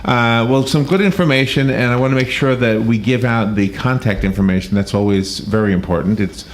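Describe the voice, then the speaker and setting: A man hosting live talk radio in a casual, halting way, often repeating words. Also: medium pitch, a little hiss or hum. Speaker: radio host. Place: radio studio.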